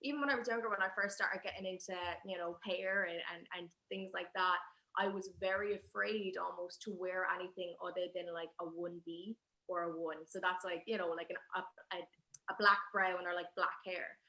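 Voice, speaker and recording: Bright-voiced young Irish woman on video call. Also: lowish voice, normal speed. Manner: expressive, chatty, laughs easily. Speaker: poet and guest. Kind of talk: spoken word poetry and conversation. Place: living room at home. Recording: echoey room over video call.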